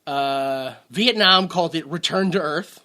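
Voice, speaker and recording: A man's voice: a little high, unhurried, bright, forceful tone. A man co-hosting a casual movie podcast, rambling and joking, laughing often. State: has a headache. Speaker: podcast co-host.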